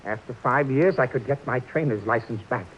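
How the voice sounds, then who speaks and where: An actor playing a guilt-ridden, meek older ex-horse trainer in a vintage radio drama, talking earnestly and pleadingly. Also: male voice, moderate pace, fairly bright tone, slight background noise, natural voice. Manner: earnest, pleading, jumpy pitch. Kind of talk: 1940s radio acting. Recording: dull lo-fi old radio broadcast.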